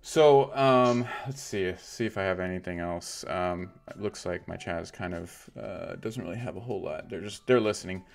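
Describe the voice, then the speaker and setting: A man hosting a live stream chats with a guest in a rambling way. His voice sits fairly low, and he fills his talk with "like" and "right?". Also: moderate pace, vocal fry, hardly any pauses. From Los Angeles area. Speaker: stream host. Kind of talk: casual interview chat.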